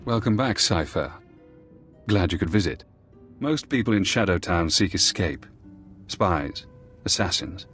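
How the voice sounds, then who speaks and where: A deep-voiced captive intelligence operative for a video game. He is calm, precise and clinically detached, explaining things in a measured way with music playing underneath. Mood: calm, sardonic. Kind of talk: video game dialogue acting. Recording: music behind the voice.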